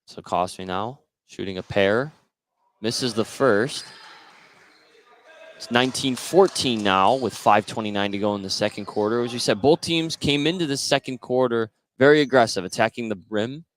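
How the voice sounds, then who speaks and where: A man doing live play-by-play radio commentary of a high school basketball game. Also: normal speed. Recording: noisy gym ambience behind the voice.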